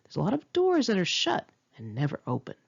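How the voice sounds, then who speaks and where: Female memoir narrator, confessional and emphatic, lively intonation with a creaky edge, modest lo-fi sound. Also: on the low side, brisk. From United States. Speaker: author reading own memoir. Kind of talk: memoir audiobook reading.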